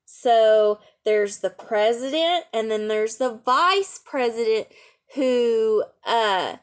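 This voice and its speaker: A woman reading a picture book aloud to young children, adding chatty asides, simple explanations and questions for the listeners. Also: a little high. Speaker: storytime reader and teacher.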